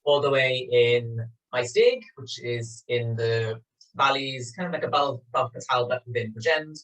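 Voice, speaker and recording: A man from Wales with a Swansea accent, chatting gently as he opens a yoga class from his small home studio. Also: medium pitch, moderate pace. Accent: Welsh, Swansea. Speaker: yoga teacher. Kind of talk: spoken yoga class introduction. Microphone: video call recording. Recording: distant, thin video call sound.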